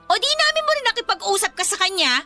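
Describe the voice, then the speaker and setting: A spiteful young Filipino rich girl in a radio drama. Her high, sharp voice swings about as she sneers and taunts, with faint music underneath. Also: big pitch swings, fast talker, heavily acted. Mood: accusing. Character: spiteful, snobbish young rich girlfriend, jealous rival.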